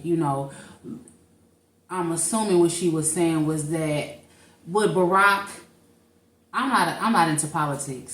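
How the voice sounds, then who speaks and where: A woman's voice: low-pitched, echoey room. A woman from St. Louis co-hosting a casual podcast, thinking aloud and restarting her sentences as she goes. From St. Louis, Missouri. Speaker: podcast co-host. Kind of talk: casual chat.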